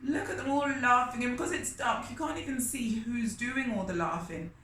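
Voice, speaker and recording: A woman's voice: fairly high pitch, normal speed, a bit bright. A woman reading a picture book aloud to children, expressively, with asides and questions to her young listeners. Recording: roomy echo, faint background noise.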